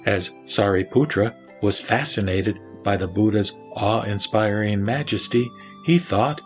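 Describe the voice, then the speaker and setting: A man with a low voice narrates sacred scripture. He recites in a solemn, formal way, with music faintly behind him. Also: measured pace.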